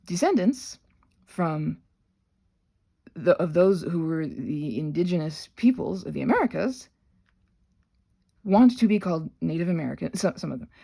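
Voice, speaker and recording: A woman with a lowish, mellow voice rambles casually while under the weather. Her speech is husky, full of restarts and self-corrections, with animated emphasis on her asides. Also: normal speed, pauses often. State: sick. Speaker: host of a rambling audio channel.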